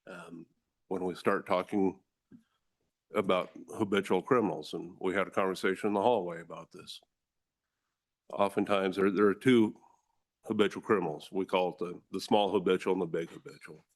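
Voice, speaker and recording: Deep-voiced american guy, prosecutor type, testifying to lawmakers, firm and earnest. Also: fairly even intonation, normal speed. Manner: forceful, earnest, addresses the room as ladies and gentlemen. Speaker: district attorney testifying. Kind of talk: formal testimony to a legislative committee.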